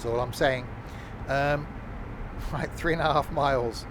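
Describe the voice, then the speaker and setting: A man live-streaming a train simulator, chatting loosely with his viewers and filling pauses with lots of ums and uhs. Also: medium pitch, noisy background. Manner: relaxed, rambling, many fillers, chats with viewers. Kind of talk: casual live-stream chat.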